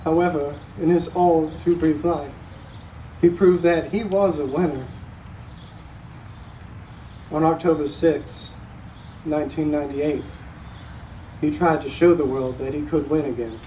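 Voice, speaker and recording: A young man playing a solemn, resolute grieving father addressing a court in a stage monologue, with heavy pauses. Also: a little high, fairly even intonation, warm tone, natural voice. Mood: solemn grief. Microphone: distant camera microphone. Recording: roomy, noisy, recorded from far off.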